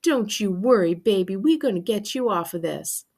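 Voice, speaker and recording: A woman's voice: medium pitch, expressive, moderate pace, a little mellow. A woman voicing a worried, tender Southern mother in a storybook, fussing and shaky with a Southern US accent. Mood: anxious tender.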